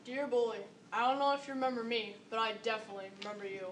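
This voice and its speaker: A seventh-grade girl with a fairly high voice recites a script. The mic is distant, and the room rings with echo. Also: racing, a bit bright. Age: about 12 to 13, seventh grader. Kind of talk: readers theater recital of a scripted monologue. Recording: echoey room, distant mic, faint noise.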